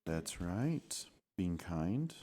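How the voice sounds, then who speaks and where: A man's voice: deep voice, very animated, drawn-out words, dark tone. A man reading a storybook aloud and chatting with children as their father, with an encouraging, patient manner.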